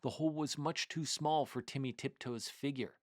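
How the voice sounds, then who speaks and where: A man narrating a children's story in an expressive, deliberate storybook delivery. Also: medium pitch, dry recording, studio quality. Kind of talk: scripted narration, children's read-aloud.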